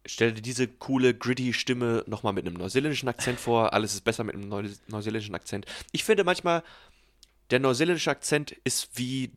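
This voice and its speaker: A man's voice: mid-range voice, fairly quick. A man chatting casually and with strong opinions about a film on a podcast. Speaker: podcast co-host. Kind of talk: casual film review chat.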